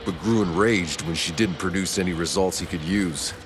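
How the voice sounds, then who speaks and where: An actor playing a grave, matter-of-fact soldier in a video game cutscene. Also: male voice, low voice, moderate pace, over music, lightly acted. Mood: grim. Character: gruff soldier reporting grim discoveries to companions.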